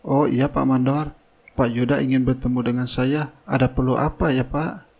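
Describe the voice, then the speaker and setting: A man's voice: mid-range voice, steady tone, moderate pace, creaky, a little mellow, slightly rough. Man as a sly, falsely meek schemer. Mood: meek, deferential. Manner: deferential aloud, sly in private. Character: scheming gold-digging young worker, outwardly meek and innocent. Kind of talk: cartoon drama dialogue and inner thoughts.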